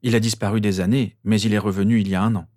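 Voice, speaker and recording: A man's voice: fairly low pitch, flat delivery, fast, warm tone, dry recording, studio quality, lightly acted. A man voicing an earnest, wounded teenage boy wizard in an audiobook, with a defensive manner.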